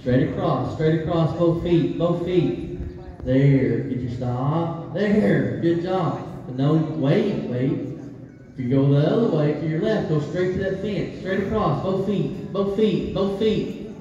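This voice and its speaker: A Texan riding instructor calls out short, steady commands to his students, calm and encouraging. He is picked up by a camera far off in a big covered arena, his voice ringing with long echo. The audio is dull, with music playing behind him. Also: fairly high pitch, slow pace. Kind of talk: coaching riders live from the arena. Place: large covered indoor arena. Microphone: camera far across the arena.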